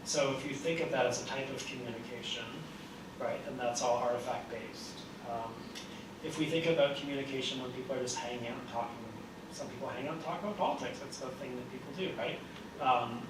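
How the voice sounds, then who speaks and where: A man's voice: medium pitch, brisk. A man giving a book talk, answering audience questions casually and thinking aloud with many fillers and restarts. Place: university library event room. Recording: echoey room from far off, noisy.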